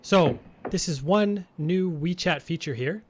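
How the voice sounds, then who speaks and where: A man's voice: on the high side, unhurried, a little hiss or hum. A Canadian man co-hosting a marketing podcast, chatting casually and thinking aloud, with frequent restarts and chuckles. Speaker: podcast co-host.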